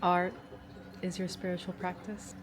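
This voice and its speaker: A woman's voice: lowish voice, little pitch movement, measured pace. A woman vlogger talking casually in short, simple phrases.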